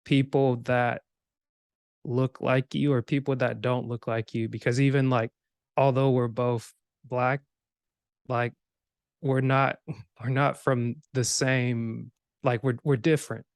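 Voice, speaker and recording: A man's voice: mid-range voice, flat delivery, slow pace, long pauses, smooth, dry recording. A man from Cameroon who grew up in Georgia, hosting a podcast in an informal, rambling way that slips into imagined remarks. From Cameroon, grew up in Georgia, USA. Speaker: podcast host. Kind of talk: casual podcast conversation.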